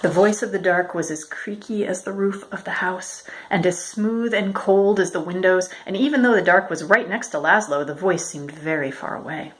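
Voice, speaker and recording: A woman reading a children's picture book aloud as a storyteller, in a measured, expressive way. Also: lowish voice, poor audio. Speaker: storyteller, educator.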